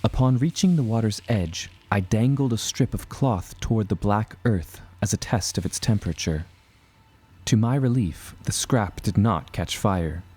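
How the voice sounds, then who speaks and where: A man playing an earnest seafaring adventurer, narrating his voyage in a measured, formal storytelling manner. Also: deep voice, warm tone. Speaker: narrator and protagonist. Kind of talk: scripted first-person adventure narration.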